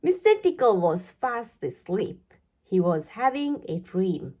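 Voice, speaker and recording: Female children's story reader, medium pitch, husky edge, unhurried and expressive delivery. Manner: expressive, deliberate phrasing for young listeners. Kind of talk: read-aloud children's storytelling.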